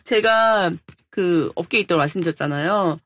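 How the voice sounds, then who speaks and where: A woman's voice: fairly low pitch, moderate pace, fairly bright tone, no room sound. A middle-aged woman co-hosting a talk show in casual, exclamatory chat.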